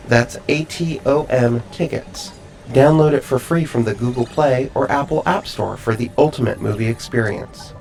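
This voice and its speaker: A man playing an emotionless, condescending robot, reading a scripted podcast sponsor message in a stilted, precise deadpan. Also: medium pitch, vocal fry, natural voice, rough recording. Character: emotionless sponsor robot gaining sentience, politely condescending to humans.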